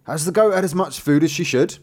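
A man voicing an old tailor from a fairy tale, a hot-tempered, gullible father who exclaims loudly and theatrically. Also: on the high side, a little fast, pitched above the performer's own voice, close to an everyday voice. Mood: inquiring.

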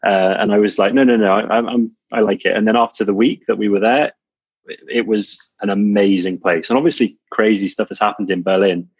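A man's voice: on the low side, steady tone, a little fast, husky, no echo. A man in his thirties from Wales, a podcast guest talking in an easygoing, laid-back, self-deprecating way. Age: 30s. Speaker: guest, coach and entrepreneur. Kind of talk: casual interview chat. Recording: dull lo-fi sound.

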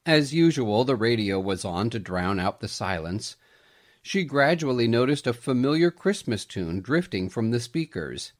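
A man reading a scripted Christmas story aloud in an expressive storytelling manner. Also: mid-range voice, moderate pace. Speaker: storyteller, podcast host.

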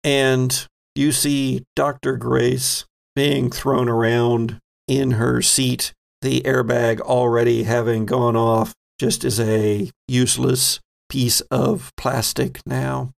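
A man narrates a tabletop horror game as its game master. His delivery is unhurried, with noticeable creak in a mid-pitched voice, and he builds suspense in short dramatic phrases. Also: little pitch movement.

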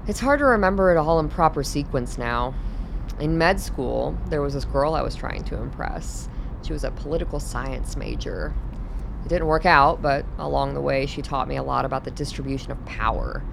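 A woman playing a world-weary, bitter older radical doctor in a radio drama, explaining things in a measured, cynical way over a low background rumble. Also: creaky. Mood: weary, matter-of-fact. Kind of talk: scripted radio drama dialogue.

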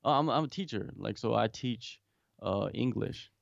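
A man's voice: fairly low pitch, moderate pace, gravelly, no echo, highs cut off. A man talking from home in a casual remote interview, rambling with many restarts and fillers. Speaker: guest, MMA interviewer and English teacher.

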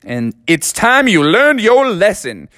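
A man voicing a bossy male chicken drumstick leader in a children's storybook, raising his voice and lecturing in a booming tone. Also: sing-song, slow, pushed and bright, no room sound, studio quality, exaggerated voice. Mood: stern.

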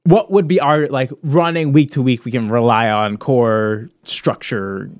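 A man's voice: mid-range voice, a little slow, no room sound. A man, chatting casually as a podcast co-host while brainstorming ideas.

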